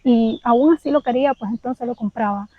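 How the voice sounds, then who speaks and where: Cuban woman vlogger, candid and reflective, slightly raised pitch, dry close sound. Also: moderate pace, no echo, narrow, tinny audio. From Cuba. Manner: candid, reflective, speaks directly to viewers. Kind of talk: personal vlog storytelling.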